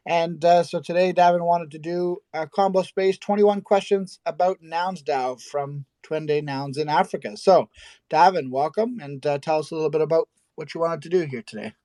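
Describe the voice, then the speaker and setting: A man hosting a casual live audio chat, chatty and quick to laugh. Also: a little high, mellow, no echo. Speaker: host.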